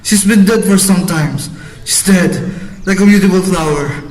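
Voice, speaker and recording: A man's voice: high voice, measured pace, darkish tone, a little hiss or hum. A man playing a commanding lord and father in an amateur radio drama, speaking in a declamatory way. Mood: grieving. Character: bossy, impatient lord and father, later grieving. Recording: echoey room.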